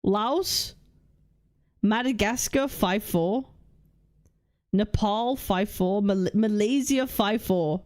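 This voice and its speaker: A young woman hosting a casual livestream, reading chat and lists aloud, blunt and swearing freely as she thinks out loud. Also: mid-range voice, slow pace, pauses often. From United Kingdom. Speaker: livestream host.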